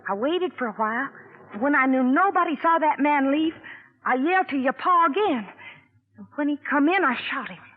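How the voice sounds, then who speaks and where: A feverish, obsessive young hired girl in an old radio play. Her voice is high. The sound is thin and phone-like, with a long echo. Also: animated, fairly quick. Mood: confessing. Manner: pleading, feverish, intense. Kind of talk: 1940s radio drama acting.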